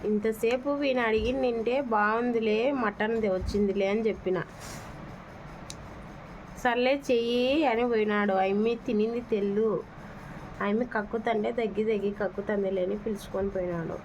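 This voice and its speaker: A woman is explaining herself in a casual phone conversation. Her voice sits at a middling pitch. The recording is a little muffled, with faint noise in the background. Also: steady tone, moderate pace, darkish tone. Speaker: prank caller.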